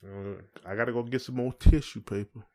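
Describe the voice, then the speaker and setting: A man's voice: mid-range voice, fairly even intonation, a little fast, a little mellow. A man with a Southern US accent chatting casually as a podcast co-host, in a folksy, joking way. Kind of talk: casual chat.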